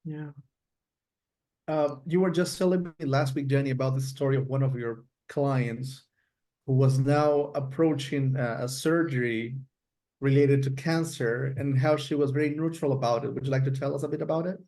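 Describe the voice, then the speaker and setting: A man's voice: mid-range voice, fairly even intonation, moderate pace, mellow. A man from Peru with a Peruvian Spanish accent, co-hosting an online workshop and inviting his partner to speak. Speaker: workshop co-host.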